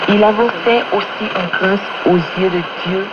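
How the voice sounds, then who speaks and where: A woman's voice: medium pitch, fairly even intonation, unhurried, lo-fi sound. Woman reading on very noisy shortwave radio. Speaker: radio program presenter. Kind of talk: scripted religious narration read aloud.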